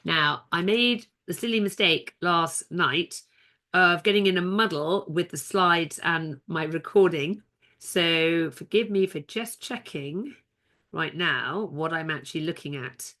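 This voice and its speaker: Nasal low-pitched woman coach. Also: unhurried, pushed and bright. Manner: chatty, rambling, with restarts. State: nasal from a cold. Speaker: seminar coach. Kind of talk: online seminar talk.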